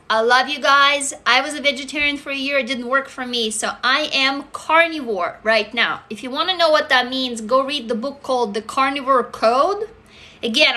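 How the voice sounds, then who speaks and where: A woman's voice: high voice, sharp tone. A woman in her forties with a Russian accent, chatting candidly and swearing freely on a phone livestream from her desk indoors. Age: 40s. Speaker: livestream host. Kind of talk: casual livestream chat. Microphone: phone camera close up.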